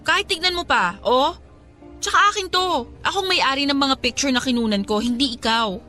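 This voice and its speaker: Casting: playful lovestruck young Filipina student, expressive and high, radio drama. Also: female voice, normal speed, soft background music. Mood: flustered, protesting. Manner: expressive, stammering when flustered. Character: smitten young female photography student, playful, flustered, cheeky. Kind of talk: Tagalog radio drama acting.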